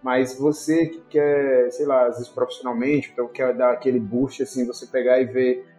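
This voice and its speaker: A man with a warm, mellow voice talks things over slowly on a podcast. He hedges a lot and trails off with filler tags. The room rings with echo, and faint music plays. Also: medium pitch, little pitch movement. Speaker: podcast guest. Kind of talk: casual chat.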